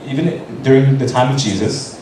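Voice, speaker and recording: A man renders a sermon into English one phrase at a time, now and then restarting a line. His voice is mellow and mid-pitched. It is captured from a distance in a very echoey church hall with a faint background hiss. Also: steady tone, fairly quick. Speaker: sermon interpreter.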